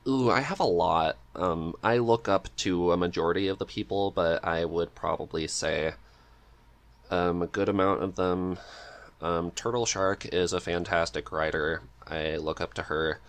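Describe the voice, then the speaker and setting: A man with a very low voice hosts a casual podcast chat. He speaks hesitantly and agreeably, in an informal, conversational way. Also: unhurried. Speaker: podcast co-host reading questions.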